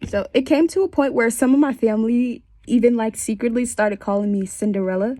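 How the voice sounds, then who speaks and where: A woman's voice: fairly high pitch, a little fast, dark tone. A young woman in her twenties with a stuffy, congested voice, candidly telling her personal story for a class in a small bedroom. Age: 20s. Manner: candid and conversational, with wry asides. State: congested from a cold. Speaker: student.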